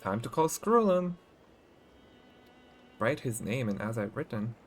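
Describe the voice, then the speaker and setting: A man with a medium-pitched voice streams a game over its soft music. He reacts casually, thinking out loud in informal, halting asides. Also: very animated.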